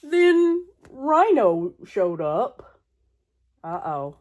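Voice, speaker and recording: An earnest male elephant from a children's storybook, played by a woman, recounts his troubles with dramatic emphasis. The delivery is drawn out and deliberate, and the pitch rises and falls widely. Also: sing-song, a little mellow, dull audio, heavily acted. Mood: grave, dramatic.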